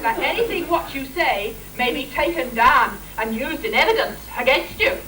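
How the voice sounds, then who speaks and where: A woman's voice: high voice, animated, moderate pace, a loud crowd. A woman playing a stern, officious police constable in an amateur Christmas pantomime. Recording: noisy echoey distant stage recording with audience.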